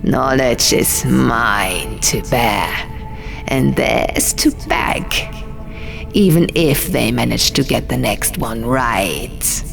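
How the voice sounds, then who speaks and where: A woman voicing a haughty, menacing male scribe god in an audiobook, with slow, pompous, riddling declamation. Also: rumbling low, lively intonation, gravelly, voice pushed down, heavily acted. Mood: contemptuous. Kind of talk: audiobook character voice. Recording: music behind the voice.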